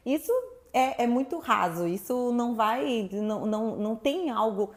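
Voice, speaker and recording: A talkative woman from Salvador, a guest sharing her story in a relaxed interview. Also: on the high side, normal speed. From Salvador, Brazil. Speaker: guest, sexuality professional. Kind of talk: casual interview chat.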